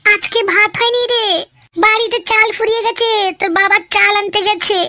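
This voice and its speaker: A man voicing a stern, nagging cartoon mother, scolding a grown son in a pitched-up voice. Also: flat delivery, brisk, pushed and bright, dry recording, heavily acted. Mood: matter-of-fact. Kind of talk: comedy cartoon dialogue.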